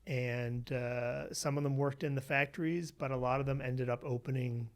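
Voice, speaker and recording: A man from Western Pennsylvania, a researcher being interviewed, telling his family story in a relaxed way with many "you know"s. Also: medium pitch, normal speed. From Western Pennsylvania, USA. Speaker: guest, researcher. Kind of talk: conversational interview.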